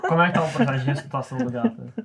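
A man's voice: mid-range voice, rapid-fire, vocal fry, a loud crowd. A man with a Brazilian accent chatting casually and with slang as a player in a tabletop game. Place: in-person group game session.

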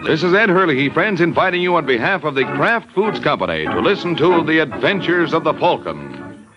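A man announcing in booming, emphatic old-time radio style, his pitch swinging lively up and down. He sells with theatrical flair and then sets the scene as narrator. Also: moderate pace, muffled recording, over loud music. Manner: booming, emphatic sales delivery.

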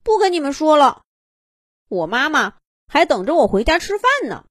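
A woman's voice: high-pitched, very animated, moderate pace, no echo, studio quality, voice pushed up. Woman voicing a blunt, unimpressed young fox. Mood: dismissive. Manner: dismissive, blunt.

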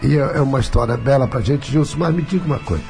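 A man's voice: medium pitch, quick pace, gravelly, dark tone, soft background music. A man with a Carioca accent hosting a radio talk show as a pastor, talkative and full of tag questions. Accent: Carioca Brazilian Portuguese. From Rio de Janeiro, Brazil.

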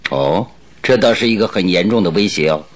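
An actor playing a shrewd, playful, self-assured detective in a radio drama, musing and amused in manner. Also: male voice, low voice, lively intonation, a little fast. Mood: probing, thoughtful.

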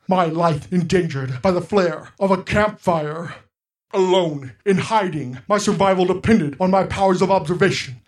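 A man's voice: high-pitched, close to an everyday voice. A man playing an earnest, guarded alien telepath superhero in a radio play, with formal, dramatic delivery. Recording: music playing under the voice.

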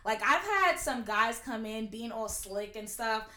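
Young female prosecutor guest, loud and outspoken, in an echoey room. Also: a little high, lively intonation, a little slow. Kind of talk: casual interview chat. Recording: roomy echo.

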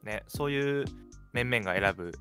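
A man's voice: mid-range voice, normal speed, sharp tone. A young man co-hosting a radio talk show in casual conversation. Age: young adult. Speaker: radio show host from an audio drama studio. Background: background music.

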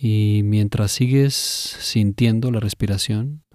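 A man narrating a scripted guided meditation in a measured, instructive way, pausing between phrases. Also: on the low side, steady tone, a little mellow, no room sound, clean studio sound.

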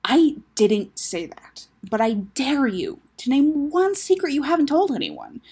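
A woman's voice: sits high, huge pitch swings, unhurried, mellow, voice pushed up, full character voice. A woman voicing an estranged adult sister, defensive, hesitant and teasing, in a story reading.

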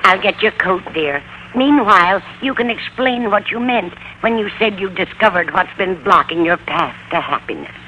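A woman's voice: mid-range voice, animated, normal speed, pushed and bright, dull audio. An actress playing a motherly, nosy older American landlady, chatty, in 1950s radio comedy style. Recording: faintly noisy vintage radio recording.

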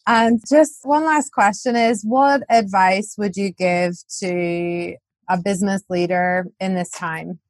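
A woman's voice: medium pitch, steady tone, slow pace, no echo. A woman from Northern Ireland with a Northern Irish accent, hosting a podcast interview in a friendly, appreciative way. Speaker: podcast host. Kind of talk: interview questions and sign-off.

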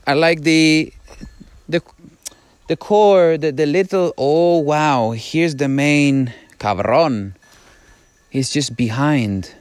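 A man's voice: medium pitch, no room sound. Italian-accented man musing on a mountain walk. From Italy. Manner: musing, halting, drifts between hushed awe and excited chatter. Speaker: podcast host. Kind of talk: casual walking monologue. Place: outdoors on a mountain trail.

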